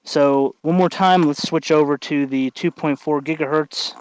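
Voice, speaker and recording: A man hosting a casual hands-on tutorial, thinking aloud in a halting way as he works. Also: fairly high pitch, steady tone, darkish tone, slight background noise, rough recording. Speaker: tutorial host, hobby electronics maker.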